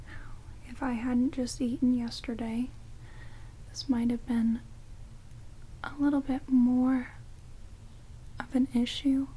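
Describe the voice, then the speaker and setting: A woman playing a rude, sarcastic female vampire in a whispered ASMR roleplay, soft and casual, speaking into close binaural mics. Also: fairly high pitch, close to an everyday voice, rough recording. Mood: dry teasing. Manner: soft-spoken whispering, dry, snarky, casual. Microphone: close binaural microphones. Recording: hissy rain noise on binaural mics.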